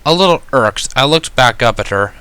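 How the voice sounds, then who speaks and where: Male amateur story reader, sharp bright tone, mid-pitched, expressive first-person narration. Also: normal speed, clean tone. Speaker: fan fiction reader.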